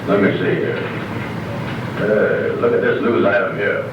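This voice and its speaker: A man playing Andy, a pompous, slow-witted schemer with self-important dialect speech, in old-time radio comedy. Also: fairly low pitch, steady tone, distant mic, close to an everyday voice. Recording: echoey, noisy old radio comedy recording.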